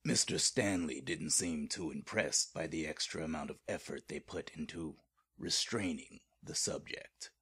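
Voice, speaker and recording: A man narrating a scripted horror audio drama in a very deep, husky voice. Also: measured pace, pushed and bright, heavily acted. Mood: grave.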